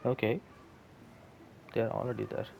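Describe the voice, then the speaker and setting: A man casually commenting on a game as he plays, relaxed and low-key. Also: measured pace, creaky, noisy background. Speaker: gameplay streamer.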